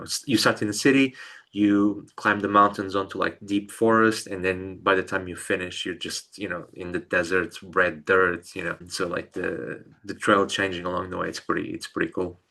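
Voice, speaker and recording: A man's voice: deep voice, little pitch movement, normal speed, vocal fry, bright, forceful tone. A young man from Portugal, a podcast guest telling bike travel stories in a hesitant way, often repeating words. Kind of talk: casual storytelling interview.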